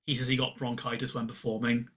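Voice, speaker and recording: A man's voice: mid-range voice, monotone, quick pace, fairly bright tone. A man co-hosting a podcast, giving casual explanatory commentary and speaking directly to listeners. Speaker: podcast co-host.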